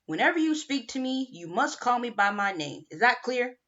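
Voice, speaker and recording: A gruff, domineering camp boss in a cowboy hat, played by a woman reading a storybook, giving blunt orders. The delivery is punchy and swings widely in pitch, and the home recording sounds dull. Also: sing-song, normal speed, a bit bright. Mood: stern, bossy. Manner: commanding, blunt.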